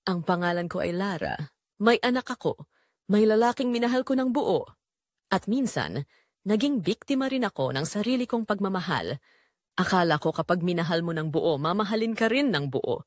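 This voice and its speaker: A woman playing a wounded middle-aged mother who tells her heartbreak in earnest, deliberate audio drama narration. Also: medium pitch, animated, no room sound, studio quality, close to an everyday voice. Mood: earnest, pleading.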